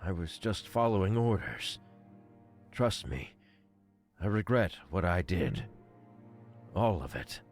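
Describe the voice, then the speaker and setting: Casting: a man as a guilt-ridden furry ex-soldier, very slow and grave, expressive swings, visual novel drama. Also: low voice, soft background music, heavily acted. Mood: remorseful. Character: remorseful, weary male furry ex-soldier, pleading earnestly.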